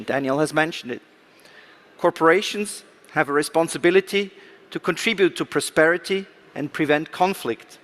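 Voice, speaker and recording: A man delivering a prepared, formal conference speech as a government official, in a measured, rhetorical way with stressed key words. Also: on the high side, fairly bright tone. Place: conference event, speaking to an audience.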